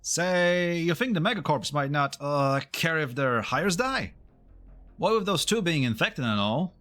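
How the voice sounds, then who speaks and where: A man playing an edgy, eager henchman in an amateur game fan dub, with a jumpy, animated way of talking. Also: a little high, measured pace, soft background music. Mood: wary, sarcastic.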